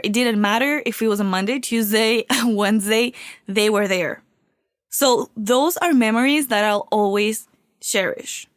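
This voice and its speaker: A woman's voice: fairly high pitch, no room sound, clean studio sound. A woman from Venezuela, hosting a solo podcast in an upbeat, confiding way while getting over a cold. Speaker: podcast host.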